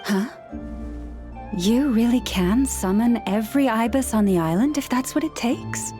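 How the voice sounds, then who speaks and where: A woman playing a soft-spoken gentle animal whisperer. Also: fairly high pitch, unhurried, soft background music, natural voice. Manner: soft, patient, slightly wry. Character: gentle young woman who hears animals. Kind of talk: game character dialogue.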